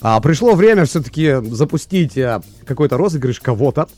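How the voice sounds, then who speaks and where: A man's voice: fairly high pitch, animated, brisk, darkish tone, soft background music. A man hosting a radio show, chatting loosely with many fillers into a studio microphone. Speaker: radio host. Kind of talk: morning radio show chat.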